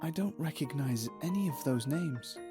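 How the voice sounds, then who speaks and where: A man playing a weak, confused young wizard in a dark fantasy visual novel, hesitant and polite. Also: mid-range voice, expressive, moderate pace, natural voice. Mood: dazed, confused. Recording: music behind the voice.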